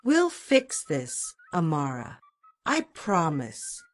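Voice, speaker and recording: A woman voicing a supportive, steady female literature teacher in a story, calm and earnest. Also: low-pitched, takes it slowly, full character voice. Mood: reassuring.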